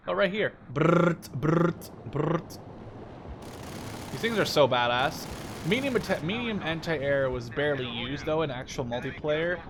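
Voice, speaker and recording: A man in his twenties giving casual gameplay commentary, informal and slangy with some swearing. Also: a little high. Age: 20s. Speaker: gaming video host. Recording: loud game battle sounds behind voice. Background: artillery, gunfire and vehicles from the game.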